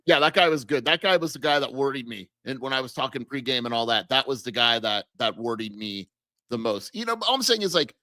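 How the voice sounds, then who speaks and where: A man's voice: medium pitch, animated, fairly quick, bright, forceful tone, no room sound. A man co-hosting a sports podcast, teasing his co-host and laughing often. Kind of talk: casual sports chat.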